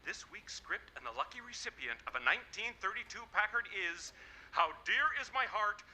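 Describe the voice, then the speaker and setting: An actor playing an eager, polished 1930s radio announcer promoting a serial program. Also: male voice, high voice, big pitch swings, normal speed, pushed and bright, exaggerated voice, rough recording. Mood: upbeat, promotional. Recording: household radio broadcast, some noise.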